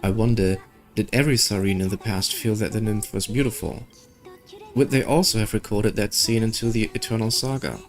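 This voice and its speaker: A man's voice: on the low side, steady tone, a little fast. A man who streams games, reading story dialogue aloud and commenting casually with offhand, skeptical asides. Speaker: gaming streamer. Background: game music.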